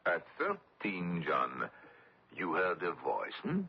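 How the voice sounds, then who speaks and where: An actor playing a sarcastic, commanding French collaborationist officer who menaces prisoners in a radio drama. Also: male voice, deep voice, huge pitch swings, slow pace, slightly rough, full character voice. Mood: sarcastic, menacing. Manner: commanding, sneering. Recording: dull lo-fi old radio recording.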